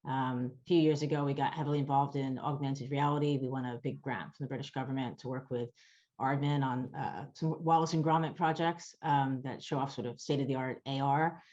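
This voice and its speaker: A woman, a game studio director on a panel, talking briskly and upbeat. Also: a bass voice, steady tone, a little mellow. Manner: brisk and upbeat, quick bursts. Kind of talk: panel discussion. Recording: dull lo-fi call audio.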